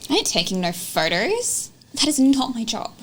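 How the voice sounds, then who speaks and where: A woman's voice: fairly high pitch, sing-song, moderate pace. Woman playing a sullen, defiant police tagalong. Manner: sarcastic, grudging, talks back. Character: sullen, defiant smoker working reluctantly alongside police.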